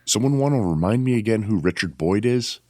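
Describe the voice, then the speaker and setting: Wary protective friend, audio drama: very low voice, grumbling, lively intonation. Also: male voice, a little fast, dry recording. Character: gruffly cautious, protective male friend.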